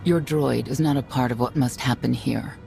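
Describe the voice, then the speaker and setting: An actor playing a cryptic, self-assured guide with a creaky voice in a video game. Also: male voice, medium pitch, moderate pace. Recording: loud music behind the voice.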